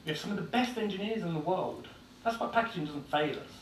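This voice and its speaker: A man's voice: high voice, lively intonation, quick pace. An Irish-born man with an English accent giving a blunt, informal conference talk with frequent filler words. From Ireland. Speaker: conference speaker. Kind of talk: conference presentation. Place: conference hall. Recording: echoey hall, distant mic, faint noise.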